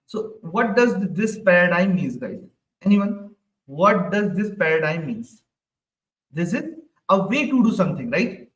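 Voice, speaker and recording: A high-pitched man runs a live online coding class. He keeps asking the class questions and tags "right?" onto his sentences. His voice sits a little away from the mic and rings in an echoey room. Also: very animated, measured pace, fairly bright tone, distant mic. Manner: repeats questions to the class, ends lines with "right?". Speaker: programming instructor.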